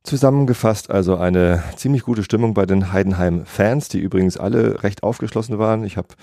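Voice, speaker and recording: A man's voice: on the low side, a little fast, mellow, no echo, clean studio sound. Guy doing street interviews outside a stadium, casual and rambly, ums everywhere. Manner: chatty, with many fillers and restarts. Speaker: street reporter for a fan radio show. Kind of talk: casual vox-pop interviews and summary. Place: outdoors near a stadium after a match.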